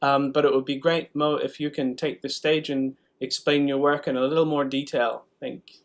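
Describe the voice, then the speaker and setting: A man's voice: medium pitch, little pitch movement, normal speed. A man speaking as the host of an event, introducing a speaker in a live, unscripted way.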